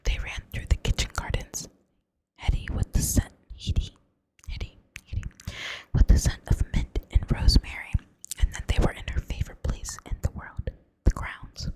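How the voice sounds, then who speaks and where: A woman with a Southern US accent reading a novel aloud in a soft whisper, now and then stumbling over words and correcting herself. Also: moderate pace, poor audio. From Southern United States. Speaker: reader.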